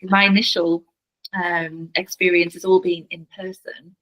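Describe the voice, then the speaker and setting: A woman's voice: lowish voice, level tone, a little slow, a bit bright, poor audio. A woman guest on a podcast, talking about her healing practice in an earnest way and repeating words as she searches for them. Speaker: podcast guest, family constellations facilitator. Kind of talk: casual interview chat.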